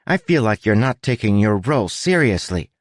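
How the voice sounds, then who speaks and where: A man's voice: on the low side, sing-song, moderate pace, no echo, clean studio sound, pitched above the performer's own voice. A man voicing a small, chatty male rat who is bossy, fussy and theatrical, in a storybook narration. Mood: exasperated. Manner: fussy, dramatic, exasperated.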